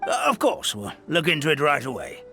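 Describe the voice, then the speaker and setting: Creaky, fussy old forest grandpa. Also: male voice, fairly high pitch, wildly swooping pitch, fairly quick, pushed and bright, faint music behind, heavily acted. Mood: flustered, obliging. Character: fussy, deferential old male fairy-tale forest creature, grandfatherly. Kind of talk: English game dub voice acting.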